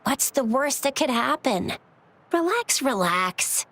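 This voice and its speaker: A woman voicing an excitable, teasing, cheerful young companion in a video game, playful and emphatic. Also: high voice, slow, heavily acted. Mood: teasing, breezy.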